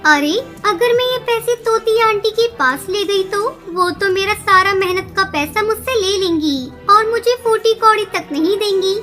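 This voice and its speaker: Squeaky-high little girl bird. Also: moderate pace, few pauses, bright, forceful tone, faint music behind. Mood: greedy, scheming. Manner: childlike, pleading, earnest. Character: young orphaned girl bird, naive and eager. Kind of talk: Hindi cartoon dialogue.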